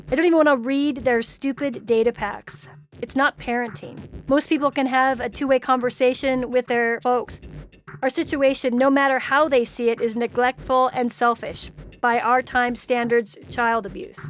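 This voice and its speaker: A woman's voice: a little high, slight background noise, natural voice. A woman playing a defiant, resentful young woman in a dramatized story reading.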